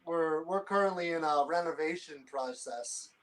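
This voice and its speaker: A man's voice: fairly high pitch, moderate pace, fairly bright tone, low-quality recording. Hesitant man talking about travel plans. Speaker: guest. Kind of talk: casual interview chat.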